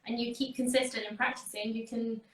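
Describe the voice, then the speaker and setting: A woman host chats casually with her guest, offering short encouraging replies. Her voice is fairly high and sounds distant from the mic. It carries in a roomy space. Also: little pitch movement, a little fast. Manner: brief encouraging reactions. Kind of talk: casual interview chat.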